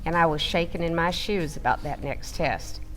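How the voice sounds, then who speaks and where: A woman's voice: deep voice, moderate pace, a bit bright, faint music behind. A woman from the United States telling a personal story in a dramatic first-person style. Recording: long hall-like reverb.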